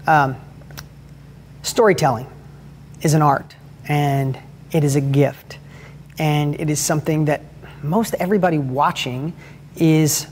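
A man with a high-pitched voice gives a motivational talk as a father and host, telling stories energetically and rambling with restarts. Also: darkish tone, a little hiss or hum. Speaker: host and father giving advice.

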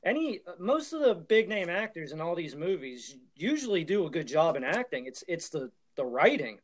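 A man with a fairly high voice speaks as a podcast panelist. His delivery is informal and conversational, and he states his opinions bluntly. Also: big pitch swings, moderate pace. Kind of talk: casual chat, giving opinions.

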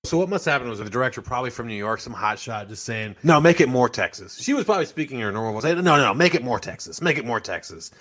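A man's voice: mid-range voice, quick pace, hardly any pauses. A man co-hosting a podcast, chatting casually about an old TV show and acting out its scenes with laughs and restarts. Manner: animated, laughs and restarts often, acts out scenes. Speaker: podcast co-host. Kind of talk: casual chat.

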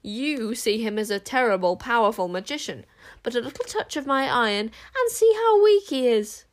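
A woman voicing a haughty, imperious warrior queen with a quick temper in an audiobook story. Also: a little high, a bit bright, lightly acted. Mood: contemptuous. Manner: contemptuous, imperious, snapping.

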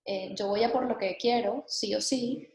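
A woman's voice: medium pitch, steady tone, normal speed, a little mellow, reverberant. A woman hosting an interview, asking questions in a casual, engaged way.

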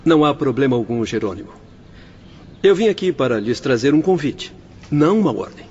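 A slightly muffled radio drama with faint music under a man acting as a kindly doctor. He speaks at a medium pitch, composed, courteous and reassuring in formal phrases. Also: big pitch swings, a little fast, a little mellow, lightly acted. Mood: calm, obliging. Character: kindly, composed male doctor of spirit infirmary.

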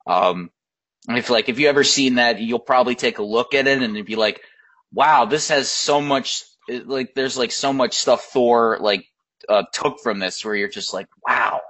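A man's voice: medium pitch, moderate pace, fairly bright tone. A man co-hosting a casual film chat, rambling with lots of fillers and restarts. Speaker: podcast co-host. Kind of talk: casual chat about films.

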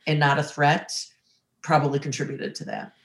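A woman's voice: deep voice, little pitch movement, normal speed. A woman in her sixties, raised in Ohio, recalls her past as an interview guest. She speaks reflectively, circling back with frequent fillers and restarts. Her voice carries a noticeable room echo. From Ohio, USA. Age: 60s. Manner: thoughtful, many fillers and restarts. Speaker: interview guest, former corporate litigator.

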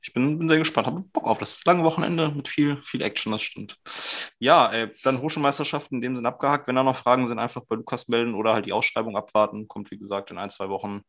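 A man hosting a relaxed podcast, talking in a chatty way full of fillers and little tag questions. His voice sits at an ordinary middle pitch. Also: quick pace. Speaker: podcast host. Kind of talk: casual podcast chat.

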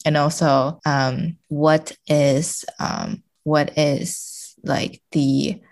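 Low-voiced woman, halting and explanatory. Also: little pitch movement, darkish tone. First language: Chinese. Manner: halting, explanatory, frequently rephrasing. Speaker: podcast guest. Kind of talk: casual literary discussion.

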